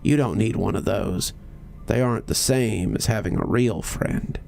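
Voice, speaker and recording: A man's voice: on the low side, normal speed, vocal fry, a little mellow, faint music behind. A man voicing a small, big-mouthed creature in a horror story, a secretive, coaxing, childlike playmate. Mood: dismissive, coaxing.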